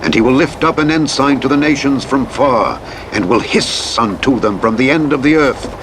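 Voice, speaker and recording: A man reading scripture as a narrator in a solemn, measured, declamatory manner. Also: medium pitch. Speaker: audiobook Bible narrator. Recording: engine and road noise over playback. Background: truck simulator vehicle noise.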